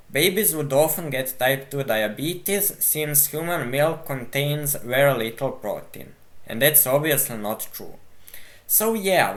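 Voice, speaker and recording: Man, slow deliberate delivery, blunt and argumentative, commenting on a video. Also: a little high. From Croatia. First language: Croatian. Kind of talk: responding to a video.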